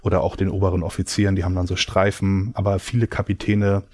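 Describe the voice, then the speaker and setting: A man's voice: low-pitched, flat delivery, quick pace, darkish tone. A man giving reflective, explanatory answers in a casual conversational interview. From Germany. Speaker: interview guest.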